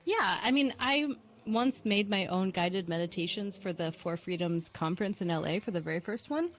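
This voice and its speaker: A woman's voice: fairly low pitch, normal speed, slight background noise, rough recording. A woman chatting casually as a talk show guest, in a lightly self-deprecating way. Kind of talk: casual chat.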